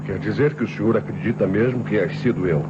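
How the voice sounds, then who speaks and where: An actor playing a cunning, guarded murder suspect in an old Brazilian radio mystery, with a cool manner and a Brazilian accent. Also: male voice, on the low side, quick pace, close to an everyday voice. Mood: indignant, guarded. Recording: muffled old radio recording, loud hum.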